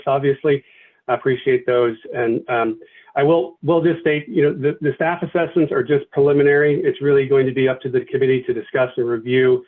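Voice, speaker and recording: A man facilitating a virtual committee meeting, thanking people and walking through the agenda with many fillers and restarts. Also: medium pitch. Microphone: video call. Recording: tinny phone-like video call audio.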